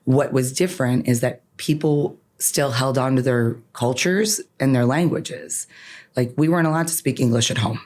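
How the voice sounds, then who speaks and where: A woman's voice: very deep voice, moderate pace, darkish tone. Earnest woman attorney, says "right?" a lot. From Canada. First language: Farsi. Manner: earnest, emphatic, often ends points with "right?". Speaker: guest, attorney. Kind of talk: podcast interview, personal reflection.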